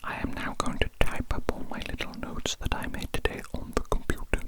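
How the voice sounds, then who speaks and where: An ASMR performer voicing a gentle, doting alien doctor in a breathy, unvoiced whisper, soothingly repeating phrases to a patient. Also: lightly acted. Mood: soothing, affectionate. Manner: slow soothing repetition of phrases.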